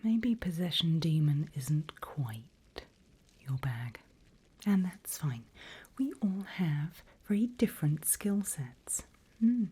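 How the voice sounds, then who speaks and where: Woman whispering as a smug, slow demon mentor. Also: deep voice, dark tone, heavily acted. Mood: condescending. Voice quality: breathy whisper. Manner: slow, drawn-out, theatrical. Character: seasoned female demon mentor, smug, teasing, menacing. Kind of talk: whispered ASMR roleplay.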